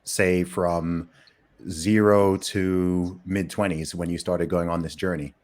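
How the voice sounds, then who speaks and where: Deep-voiced male podcast host. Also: flat delivery, slow pace, darkish tone. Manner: casual, curious questioning. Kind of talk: podcast interview.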